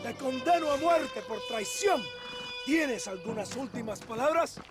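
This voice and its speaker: An actor playing a pompous Mexican government officer in a video game, proclaiming formally with a Mexican accent. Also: male voice, very high voice, lively intonation, normal speed, music in the background. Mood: stern, official. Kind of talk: video game cutscene acting.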